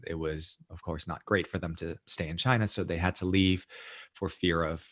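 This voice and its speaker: Low-voiced man telling his story. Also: fairly even intonation, a bit bright. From United States. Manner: thoughtful, measured, frequent "you know" and "right?". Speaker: guest author. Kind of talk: reflective storytelling in interview.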